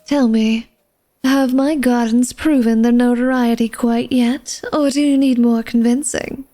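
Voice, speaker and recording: A woman's voice: on the high side, fairly even intonation, unhurried, dark tone, faint music behind, close to an everyday voice. A woman playing an elegant, secretive vampire lady of a manor in a flirtatious ASMR roleplay, speaking formally and coyly to the listener. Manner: formal, genteel, coy, teasing speech addressed to the listener.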